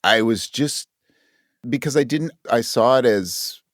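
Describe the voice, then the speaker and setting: A man's voice: medium pitch, measured pace, no room sound, studio quality. A man talking as a guest in a casual interview, reflective and self-deprecating. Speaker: podcast guest, actor and audio drama writer.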